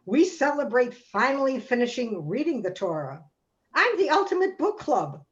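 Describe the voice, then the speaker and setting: Through dull video-call audio comes a festive, boastful holiday, the life of the party played by a woman. The voice is fairly high and animated, with wry community-theater delivery. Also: normal speed. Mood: festive boasting. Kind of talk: amateur reader's theater.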